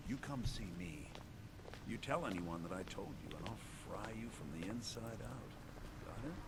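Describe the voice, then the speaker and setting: An actor playing a shady, sly college mage in a fantasy video game, confiding with menace. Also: male voice, on the low side, animated, moderate pace, heavy background noise, close to an everyday voice. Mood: sly, threatening. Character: shady male college mage dealing in contraband.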